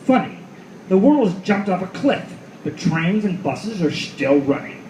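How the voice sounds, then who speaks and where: Man playing a hardboiled noir detective, animated, roomy sound. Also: high voice, measured pace. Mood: weary, watchful. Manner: world-weary, profane, sardonic. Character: hardboiled cynical male detective at the world's end. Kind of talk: noir radio play, first-person narration. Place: live reading in a roomy venue. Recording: roomy live recording with faint noise.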